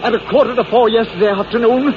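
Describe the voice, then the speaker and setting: A man's voice: very high voice, steady tone, fast. An actor playing an urgent, defiant man accused among bus passengers, declaiming emphatically in 1940s radio thriller style. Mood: indignant accusing. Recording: dull, noisy old radio drama.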